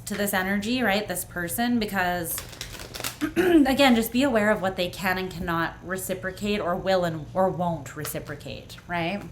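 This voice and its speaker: A woman doing a tarot reading for the viewer, earnest and reassuring, often clearing her throat as her voice grows hoarse. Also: fairly low pitch, slight background noise. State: voice going hoarse. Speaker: tarot reader. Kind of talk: pick-a-card tarot reading, speaking to the viewer.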